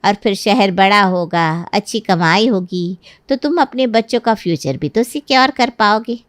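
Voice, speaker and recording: A woman's voice: medium pitch, moderate pace, no room sound, studio quality, lightly acted. A woman voicing a scheming middle-aged mother of a bride in a cartoon, nagging and lecturing persuasively. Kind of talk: Hindi cartoon story acting.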